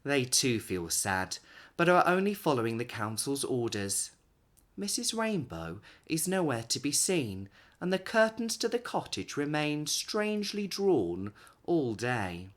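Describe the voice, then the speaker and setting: A man in his thirties narrates a picture book for young children. His pitch is medium but swings widely, and he leans on key words with dramatic pauses. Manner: animated, dramatic pauses and emphasis for young listeners. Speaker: children's story reader. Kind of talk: expressive read-aloud storybook narration.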